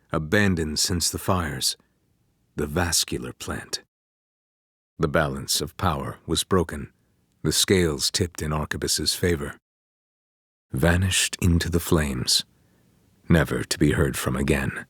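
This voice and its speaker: An ominous video game narrator chronicling a war. His voice is extremely low and husky, recorded close and dry. He delivers the scripted story gravely and deliberately, with solemn, portentous weight. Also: fairly bright tone, no echo, exaggerated voice.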